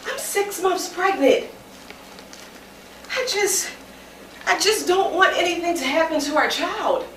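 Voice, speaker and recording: A woman's voice: very high voice, slow, fairly bright tone, full character voice. A woman acting on stage as an anxious young pregnant American woman, earnest and pleading with her partner. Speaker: stage actor. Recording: echoey distant stage recording, clear noise.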